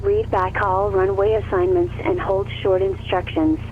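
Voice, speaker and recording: Low female automated announcer on tinny radio. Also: little pitch movement, unhurried. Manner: clipped phrases, reads numbers digit by digit. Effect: aviation radio filter. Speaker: automated airport weather announcer. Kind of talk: scripted aviation information broadcast. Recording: tinny, faintly noisy radio channel.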